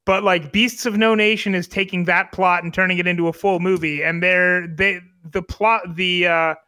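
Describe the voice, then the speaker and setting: A man's voice: sits high, fairly even intonation, normal speed, sharp tone, clear, resonant tone. A young man co-hosting a podcast, talking casually about a film with frequent fillers and restarts. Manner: chatty, many fillers and restarts. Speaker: podcast co-host.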